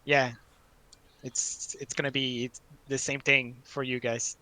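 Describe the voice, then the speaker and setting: A man from Quebec, a native French speaker with a Quebec French accent, chatting casually and haltingly, repeating words as he searches for phrasing. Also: medium pitch, little pitch movement, sharp tone. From French-speaking Quebec, Canada. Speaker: study group participant. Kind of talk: casual group chat.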